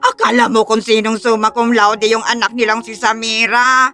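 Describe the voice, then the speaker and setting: A woman's voice: on the high side, level tone, moderate pace, bright, forceful tone. A woman playing a boastful, snobbish middle-aged aunt in an audio drama, with a mocking, gloating manner.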